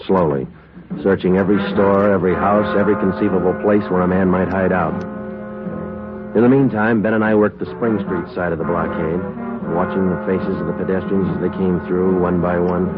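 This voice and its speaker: An actor playing a terse police detective with an American accent, speaking deadpan in clipped sentences in an old radio crime drama. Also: male voice, low voice, moderate pace, loud music behind the voice, natural voice. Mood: deadpan, weary. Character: terse, businesslike male police detective sergeant. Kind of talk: 1950s radio police procedural acting. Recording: muffled old radio recording.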